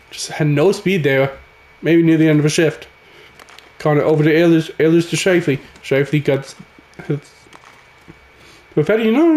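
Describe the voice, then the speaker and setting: A man, raspy from a cold, giving casual play-by-play commentary over a hockey video game. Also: on the high side, roomy sound. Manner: excitable, calls the action as it happens. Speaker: gaming channel host.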